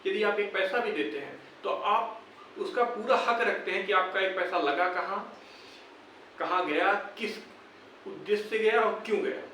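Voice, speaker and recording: A man's voice: high voice, moderate pace, sharp tone. A young man with a North Indian accent from Uttar Pradesh, speaking informally to viewers in an earnest, exhorting, repetitive way. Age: young adult. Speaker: YouTuber addressing exam candidates. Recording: very echoey room, distant mic, faint noise.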